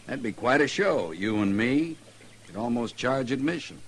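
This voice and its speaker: An actor playing a weathered, proud, aging Texan gunfighter in a radio western, laconic and familiar, with a Western American drawl. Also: male voice, mid-range voice, expressive, moderate pace, close to an everyday voice. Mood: wary, challenging. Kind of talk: old-time radio western acting. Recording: noisy vintage radio recording.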